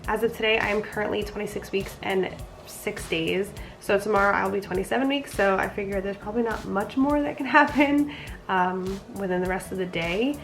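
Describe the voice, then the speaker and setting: A woman's voice: medium pitch, brisk, vocal fry, faint music behind. A young woman vlogging a casual pregnancy update, sounding nasal from a cold, chatty and rambling. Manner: chatty, rambling, frequent fillers.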